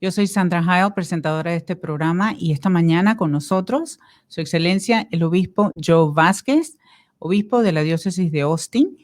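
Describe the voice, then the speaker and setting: A woman's voice: on the low side, moderate pace. A woman hosting a faith radio program, interviewing a guest and leading a heartfelt prayer. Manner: warm, devout, leads a prayer. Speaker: radio host. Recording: radio studio.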